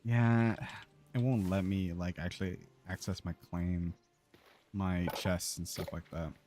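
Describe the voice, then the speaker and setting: A man's voice: low voice, fairly even intonation, measured pace, a little mellow, a little hiss or hum. A man chatting casually on a gaming livestream, informal and sweary as he mutters to himself while playing. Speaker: live streamer playing a video game.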